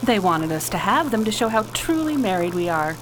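An actress playing a spirited, caring wife aboard a starship in a space opera radio drama, expressive and earnest. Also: female voice, medium pitch, brisk, noisy background, close to an everyday voice. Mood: chatty.

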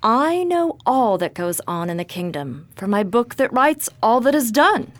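A woman's voice: a little high, big pitch swings, unhurried, no room sound. A woman playing a regal good witch in a radio drama, stern but caring, stately and formal.

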